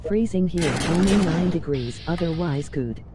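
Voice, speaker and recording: A woman's voice: on the low side, lively intonation, normal speed, music in the background. A text-to-speech woman's voice reading out chat messages, emoji names included. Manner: reads emoji names aloud. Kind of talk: flat synthetic readout of chat messages.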